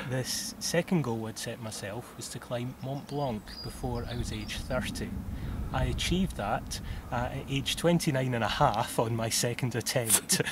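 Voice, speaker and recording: A Scottish man in his thirties from southwest Scotland, answering interview questions about mountaineering in an unhurried way, with many "uh" pauses. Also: medium pitch. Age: 30s. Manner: unhurried, with frequent "uh" pauses and occasional laughs. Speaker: mountaineer guest. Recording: clearly audible background noise.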